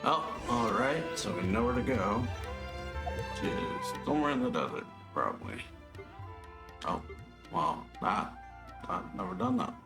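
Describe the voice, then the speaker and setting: A man's voice: mid-range voice, huge pitch swings, measured pace, vocal fry, pauses often. A man giving casual let's play commentary, muttering short reactions and thinking aloud. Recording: game music behind the voice.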